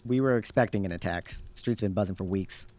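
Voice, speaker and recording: A man plays a jaded underground hacker in a radio-style drama. His voice sits fairly low and he explains things in a dry, know-it-all way. The sound is tight, with no room on it. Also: a little fast, darkish tone, dry recording, faint music behind, lightly acted. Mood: curt, businesslike. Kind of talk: audio drama acting.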